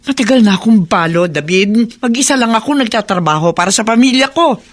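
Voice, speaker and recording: A woman's voice: on the high side, lively intonation, a little fast, lightly acted. A woman playing a hardworking, fed-up widowed shopkeeper in a radio drama, exasperated and pleading. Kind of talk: Tagalog radio drama acting.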